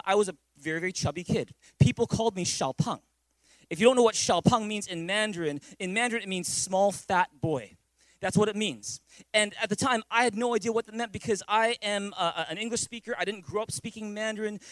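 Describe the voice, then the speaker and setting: A man's voice: sits high, no echo, rough recording. A man preaching conversationally as a pastor, telling personal stories and often repeating phrases to his listeners. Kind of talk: conversational sermon with personal storytelling.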